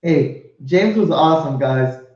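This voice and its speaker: Male host, effusive and profane; high voice, echoey muffled audio. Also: lively intonation, a little slow, a little mellow. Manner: effusive, swears, laughs a lot. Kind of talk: casual live show chat.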